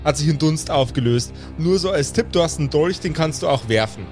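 A man's voice: fairly high pitch, lively intonation, a little fast, darkish tone. A man narrating an improvised tabletop fantasy game as game master, with dramatic, descriptive storytelling. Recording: music playing behind the voice. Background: background music.

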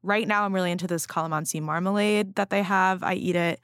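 A woman's voice: on the low side, fairly even intonation, quick pace, a bit bright, clean tone, no room sound, studio quality. A woman co-hosting a casual food chat, explaining things conversationally with descriptive detail.

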